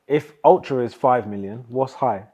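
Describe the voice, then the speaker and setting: A male interviewer with a dark, mellow voice who keeps pressing with quick follow-up questions. His audio comes through slightly muffled and dull. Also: mid-range voice, husky. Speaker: interview host. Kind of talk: casual interview conversation.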